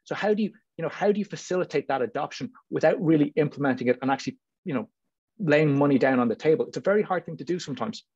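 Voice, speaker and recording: A man's voice: on the high side, animated, rapid-fire, a little mellow, breathy edge. A man with an Irish accent talking informally and chattily as a panelist on a video call. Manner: talkative, hedging with frequent "you know what I mean". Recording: muffled, roomy video call audio.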